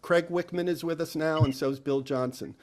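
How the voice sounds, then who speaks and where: A man's voice: a little high, steady tone, normal speed, darkish tone. A man talking casually as a production hand troubleshooting a live broadcast.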